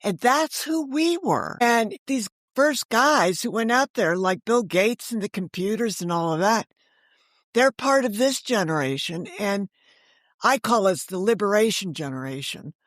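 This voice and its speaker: Interview guest: woman, 60s, American, emphatic conversational storyteller, mid-pitched voice, close dry sound. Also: animated, a little slow, no room sound. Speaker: guest, author and audio drama creator.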